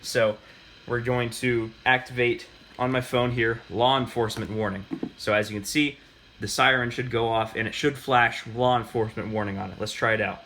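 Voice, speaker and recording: A man giving a casual, unscripted how-to demonstration, halting and often correcting himself as he talks. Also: mid-range voice, steady tone, fairly bright tone, a little hiss or hum. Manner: halting, self-correcting delivery. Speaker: host of a hobbyist demonstration video.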